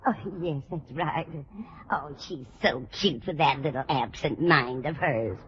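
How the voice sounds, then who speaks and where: A woman's voice: low voice, unhurried. An actress playing a sweet, forgetful elderly landlady in an old-time radio comedy, kindly and dithering, often losing her thread. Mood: dithering, fond. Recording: dull, faintly noisy vintage radio recording.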